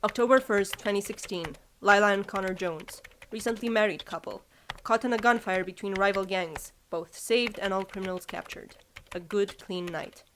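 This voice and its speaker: A woman's voice: medium pitch, normal speed, a bit bright, slight background noise, close to an everyday voice. A woman acting a young vigilante superheroine in an audio drama, sarcastic, casual and idealistic. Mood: reflective.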